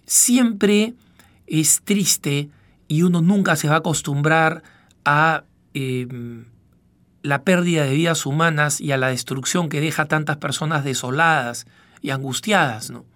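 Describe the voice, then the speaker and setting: A middle-aged man from Peru, raised in Argentina, hosting a reflective radio commentary in a measured, halting way as he searches for words. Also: on the high side, a bit bright. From born in Peru, raised in northwestern Argentina. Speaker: radio program host, news agency director.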